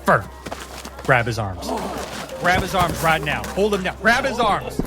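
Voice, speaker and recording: A man's voice: fairly high pitch, big pitch swings, unhurried, background noise, voice pushed up. A man voicing a hulking, vengeful village father in a tabletop fantasy game, commanding and threatening. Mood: urgent, commanding.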